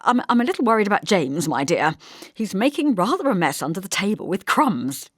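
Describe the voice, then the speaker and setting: A woman's voice: medium pitch, very animated, fairly quick, no room sound, studio quality, pitched above the performer's own voice. A woman voicing a distracted, amiable middle-aged man in an audiobook, rambling mildly and agreeably. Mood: vague, mildly worried. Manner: rambling, mild, preoccupied.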